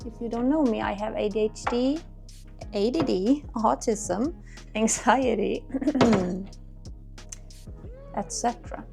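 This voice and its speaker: A Swedish-accented woman chats to camera in her home kitchen, a little high in pitch with a gravelly fry. She wanders through her thoughts, restarts sentences and hunts for words. Dishes clink and music plays clearly behind her. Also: takes it slowly, long pauses. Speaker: cleaning vlogger. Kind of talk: casual chatty talk to camera while cleaning. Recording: music playing underneath. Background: dishes clinking, quiet music.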